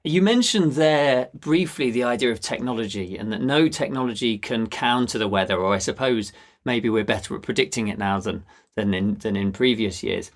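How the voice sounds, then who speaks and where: A man's voice: on the low side, moderate pace. A man hosting a conversational interview, musing as he works his way toward questions. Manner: musing, tentative phrasing with tag questions. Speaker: podcast host.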